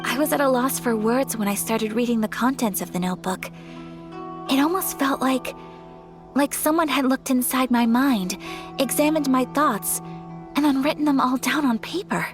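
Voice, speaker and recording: A woman playing a gentle, wistful young noblewoman. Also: sits high, over music, lightly acted. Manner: soft, measured, wistful. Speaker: noble daughter of a clan. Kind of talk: game voice acting.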